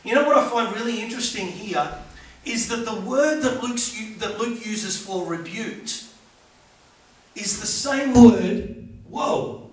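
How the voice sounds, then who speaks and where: A man's voice: sits high, takes it slowly. A middle-aged man preaching a sermon to a small congregation, earnest and building emphasis. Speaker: pastor. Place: small church hall with congregation. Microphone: far camera at back of room. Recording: echoey room recorded from far off with faint hiss.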